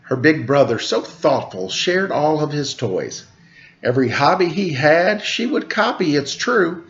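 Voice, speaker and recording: A man's voice: a little high, a little slow, highs cut off. Man reading a rhyming children's story. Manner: sing-song rhyming delivery with lively voiced quotes. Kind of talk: rhyming picture-book read-aloud.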